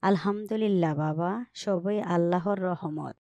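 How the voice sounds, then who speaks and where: Woman acting a low-voiced, devout, caring mother. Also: measured pace, dark tone, dry recording. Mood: thankful. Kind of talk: scripted Islamic cartoon dialogue.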